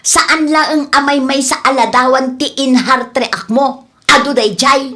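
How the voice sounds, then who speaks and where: A woman plays a jealous young Ilocano girlfriend in a comedy drama. Her voice sits high and turns whiny and accusing as she frets. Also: steady tone, a little slow, pushed and bright, heavily acted. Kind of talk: audio comedy-drama acting.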